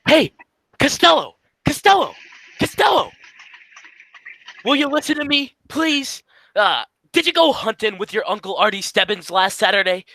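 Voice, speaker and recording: A woman's voice: a little high, big pitch swings, normal speed, gravelly, fairly bright tone, dry recording, exaggerated voice. A woman playing a blustery, exasperated male straight man in an old-time radio comedy, scolding and repeating phrases emphatically. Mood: impatient.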